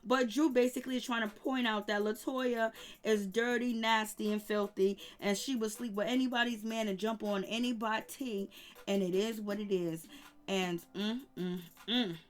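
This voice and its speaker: A woman's voice: medium pitch, fairly even intonation, moderate pace. A woman casually recapping reality TV gossip, rambling with lots of fillers and humming disapproving "mm, mm" asides. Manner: chatty, rambling with many "you know" fillers. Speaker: YouTube reality TV commentator.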